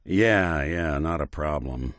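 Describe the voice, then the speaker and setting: An actor playing a world-weary American robot detective in a video game, with a wry, hesitant, hard-boiled noir delivery. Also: male voice, very deep voice, slow, fairly bright tone, no echo, clean studio sound, heavily acted. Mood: wistful, resigned. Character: world-weary synth detective, a machine with an old-time cop's personality.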